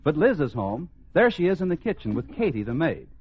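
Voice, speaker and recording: A man's voice: fairly high pitch, sing-song, a little fast, slight background noise. A man announcing and narrating in scripted 1940s radio style, polished, theatrical and wry. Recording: dull old radio recording over music. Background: prominent music.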